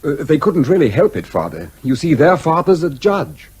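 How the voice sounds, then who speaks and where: An actor playing an affectionate, anxious young British gentleman, polite and well-spoken, in radio comedy style. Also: on the high side, very animated, normal speed, slightly rough, no echo, heavily acted. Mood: anxious, apologetic. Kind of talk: old-fashioned radio comedy acting.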